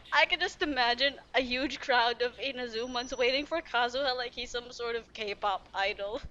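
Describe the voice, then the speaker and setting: A woman streamer doing playful gameplay commentary, excitable, with sarcastic asides and lines read aloud. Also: a little high, normal speed, slight background noise. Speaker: streamer reacting to a game. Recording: dull lo-fi mic, faint music.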